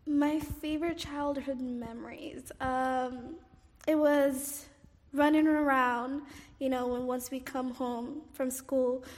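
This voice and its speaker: A young woman with a high, light voice, from Somalia by way of Cairo, talks through her memories in an unhurried, hesitant way, filling pauses with "um" and "like." Her voice carries a long reverberant tail, as if she were in a large room. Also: fairly even intonation. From Somalia, raised in Cairo, Egypt. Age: 20s. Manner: hesitant, frequent "um" and "like", soft laughs. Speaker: oral history interviewee. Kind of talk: casual personal recollection.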